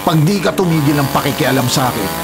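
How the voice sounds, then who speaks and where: A man's voice: a little high, animated, fast, noisy background. A man playing a hot-tempered, threatening illegal logger in a Filipino radio drama, with a Filipino accent and a confrontational manner. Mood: threatening rage. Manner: menacing, confrontational.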